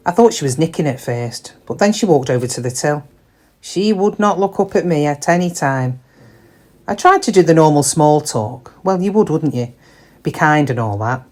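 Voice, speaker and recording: An actress playing a middle-aged British shop worker in a confiding, wry, conversational monologue, kind but quietly weary. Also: female voice, sits low, expressive, normal speed. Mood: pensive, confiding. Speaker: actor in a dramatic monologue.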